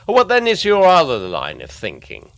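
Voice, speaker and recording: An actor playing a sceptical, disapproving gentleman, incredulous and reproving, in a period gothic audio drama. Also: fairly high pitch, huge pitch swings, moderate pace, no echo.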